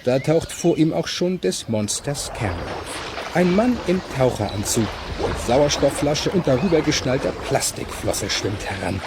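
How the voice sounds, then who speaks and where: A man narrating a children's audio play in a measured, suspenseful storytelling manner with clear articulation. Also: medium pitch, lively intonation, background noise. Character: suspense-building male storyteller.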